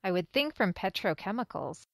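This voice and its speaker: Woman, interview host, conversational, middle pitch, dry recording. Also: lively intonation, normal speed, vocal fry, dry recording.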